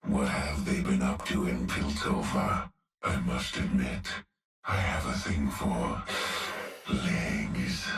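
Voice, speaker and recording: An actor playing a hulking, menacing male cyborg executioner, speaking in a slow, gravelly growl with heavy breaths. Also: rumbling low, fairly bright tone, exaggerated voice. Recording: roomy, distant game audio with music.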